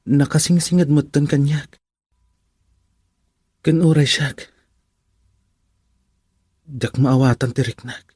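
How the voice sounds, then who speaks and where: A man's voice: fairly high pitch, takes it slowly, pauses often, darkish tone, husky, no room sound, studio quality. An actor playing a humble, hesitant farmer and father in an Ilocano radio drama. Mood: thoughtful.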